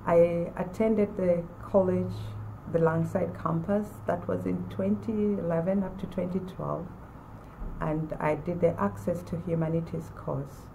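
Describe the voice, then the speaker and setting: Gravelly woman in her forties. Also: lowish voice, mellow, a little hiss or hum, poor audio. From Uganda. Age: 40s. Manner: measured, with pauses and restarts. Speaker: former college student. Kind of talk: personal testimonial to camera.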